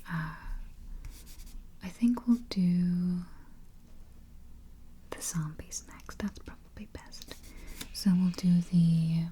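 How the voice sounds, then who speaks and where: Woman playing a slow, whispering vampire travel agent. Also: on the low side, a little hiss or hum. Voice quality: breathy, mostly whispered. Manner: gentle, unhurried, hesitant murmured hums. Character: elegant female vampire travel agent, polite, faintly sly. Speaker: ASMR roleplay performer. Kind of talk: soft-spoken ASMR roleplay. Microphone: close-up microphone.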